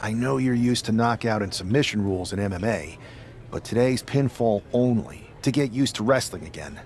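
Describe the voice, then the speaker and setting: A man's voice: lowish voice, animated, normal speed, a little mellow, slight background noise. A man playing an earnest, casual pro wrestler in a video game, talking wryly and persuasively to a best friend. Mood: upbeat, determined. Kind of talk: cinematic game dialogue, casual.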